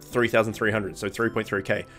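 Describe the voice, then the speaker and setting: A man's voice: fairly low pitch, flat delivery, fairly quick, fairly bright tone. Congested young man rambling through gameplay. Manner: rambling, many ums and self-corrections. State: congested with a cold, blocked nose. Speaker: gaming channel host. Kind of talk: casual gameplay commentary. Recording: faint music bed underneath.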